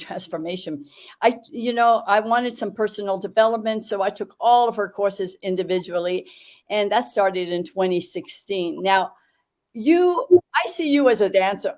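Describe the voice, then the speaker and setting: An older woman hosting an interview over a video call, chatty and effusive, filling pauses with fillers and correcting herself. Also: mid-range voice. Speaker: interview host. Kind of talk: casual interview chat.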